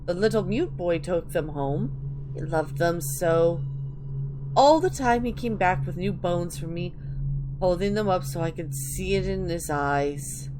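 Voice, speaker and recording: A woman voicing an eerie, wistful old granny witch who rambles slowly in a dramatic reading of video game lore. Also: lowish voice, background noise, close to an everyday voice. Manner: slow, wistful rambling with drawn-out endearments.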